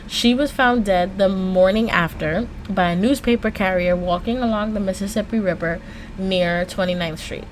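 A woman hosting a true crime podcast, switching between scripted storytelling and slangy, informal, animated asides. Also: mid-range voice, moderate pace, a little hiss or hum, rough recording.

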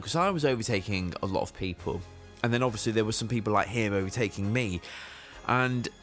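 A man's voice: fairly low pitch, a bit bright. A young man hosting a podcast, chatting casually with a jokey, self-mocking energy. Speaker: podcast host.

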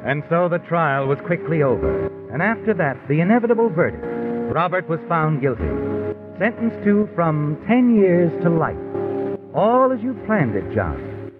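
An actor playing a knowing, sinister storyteller, dramatic and insinuating, in 1950s American radio suspense style. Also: male voice, a little high, big pitch swings, normal speed. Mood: knowing menace. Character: sinister, knowing storyteller taunting the guilty man. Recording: tinny 1950s radio recording. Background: dramatic music.

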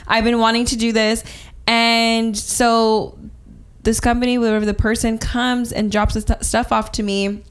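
Young woman, podcast host, emphatic, restarting often, higher pitch. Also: little pitch movement, normal speed, clean tone. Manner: emphatic, rising to exasperated quoting. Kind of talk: casual panel chat.

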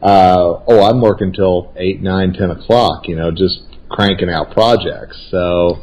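A man talks with a friend in easy, friendly conversation. His voice sits a little low, and he often stops and restarts his sentences as he works out what to say. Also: steady tone, darkish tone, highs cut off. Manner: friendly, halting, with many restarts. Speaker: regular guest and co-host, speaker and consultant. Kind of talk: casual conversational chat.

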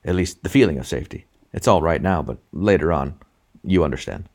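Storybook schemer played by male reader: shrewd, confiding small-time businessman, deep voice. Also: lively intonation, fairly quick, darkish tone, full character voice. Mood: furtive. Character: shrewd, scheming, furtive intelligent male passenger.

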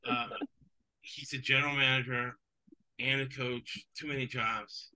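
A man's voice: mid-range voice, flat delivery, a little slow, sharp tone, low-quality recording. A man, English his second language, hosting a sports betting podcast in casual, rambling chat. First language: not English. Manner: rambling, digressive, chuckles often.

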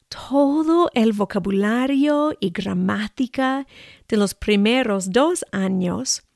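An American woman in her forties, a native English speaker and Spanish teacher, reading a personal story slowly and carefully with an American accent. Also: medium pitch, gravelly, darkish tone, no echo, clean studio sound. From United States. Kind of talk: read personal narrative.

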